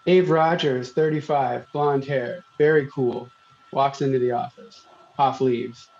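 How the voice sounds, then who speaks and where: A man's voice: medium pitch, steady tone, unhurried, a little mellow, muffled recording. A man reading stage directions from a script in short, clipped phrases. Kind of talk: scripted narration.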